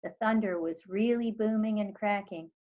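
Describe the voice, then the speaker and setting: A woman teacher reading a children's storybook aloud in expressive narration. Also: medium pitch, moderate pace, highs cut off.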